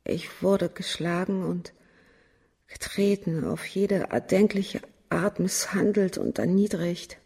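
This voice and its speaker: An actress playing a bitter, world-weary murderess in a German radio crime drama, speaking haltingly and often sinking into whispers. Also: female voice, on the low side, vocal fry, mellow, slightly rough, full character voice.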